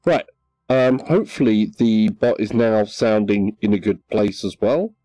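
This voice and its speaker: A man chatting casually on a VR game livestream, relaxed and hesitant, with many ums. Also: lowish voice, unhurried, no echo, rough recording. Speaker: livestream gamer.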